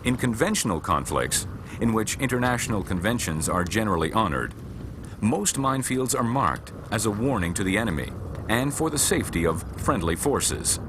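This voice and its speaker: A man reading scripted instructional narration for a military training film in a measured, formal, matter-of-fact way. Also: lowish voice, sing-song, background noise.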